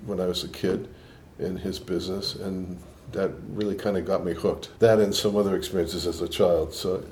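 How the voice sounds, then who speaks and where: Older Boston-New York man, very deep voice. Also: steady tone, moderate pace, a little mellow, a little hiss or hum. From Boston, USA. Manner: thoughtful, with frequent restarts and fillers. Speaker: interviewed scientist. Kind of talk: reflective interview answers.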